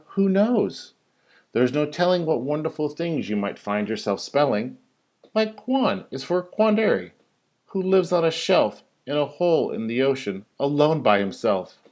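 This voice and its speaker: A man's voice: mid-range voice, darkish tone. Man reading a rhyming storybook expressively. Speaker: teacher reading a children's story. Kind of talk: animated storybook read-aloud of rhyming verse.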